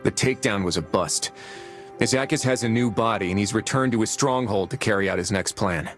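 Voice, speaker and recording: An actor playing a steady, plainspoken male hero in a video game, calm and direct. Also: lowish voice, a little fast, soft background music, natural voice. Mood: resolute. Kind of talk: video game cutscene acting.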